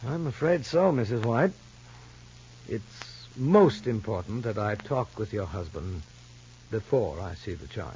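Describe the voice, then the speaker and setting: An actor playing a measured, probing child psychologist in a 1950s soap opera, formal and deliberate. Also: male voice, lowish voice, animated, mellow. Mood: firm, reasoning. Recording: muffled vintage broadcast with faint noise.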